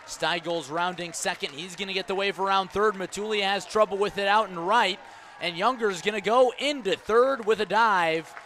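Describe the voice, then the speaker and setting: A man's voice: sits high, normal speed, bright, forceful tone. An American man calling baseball play-by-play live on the radio. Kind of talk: live radio sports commentary. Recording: live broadcast with background noise. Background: ballpark ambience.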